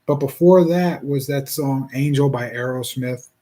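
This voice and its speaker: A man in his forties speaking in a casual reflective monologue, rambling and halting with many restarts. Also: medium pitch, dark tone. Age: 40s.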